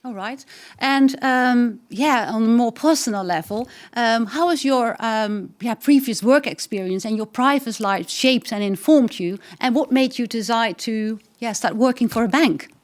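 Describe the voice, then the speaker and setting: Lady hosting a webinar, bit high voice, friendly, lots of ums and yeahs. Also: measured pace. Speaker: webinar moderator. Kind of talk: moderating a webinar.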